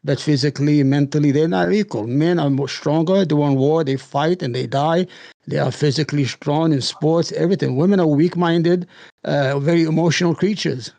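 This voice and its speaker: Gravelly blunt man on a call. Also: a little high, moderate pace, mellow, no room sound. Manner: blunt, provocative, broken phrasing. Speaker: debate guest. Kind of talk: heated online debate.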